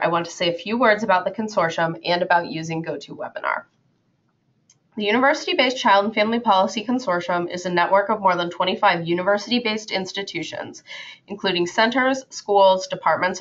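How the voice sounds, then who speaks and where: A woman coordinator opens an online webinar, reading formal welcome remarks and housekeeping notes from a script. Her voice sits at a middle pitch for a woman. The audio is a little dull and muffled, as webinar sound often is. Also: brisk, fairly bright tone. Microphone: computer microphone in an online webinar.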